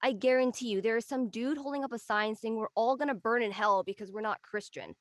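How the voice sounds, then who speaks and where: A woman plays an argumentative, blunt character in a radio play family debate. Her voice is high and emphatic. The sound is close and dry. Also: fairly quick, no room sound, lightly acted. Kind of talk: dramatic dialogue in an audio play.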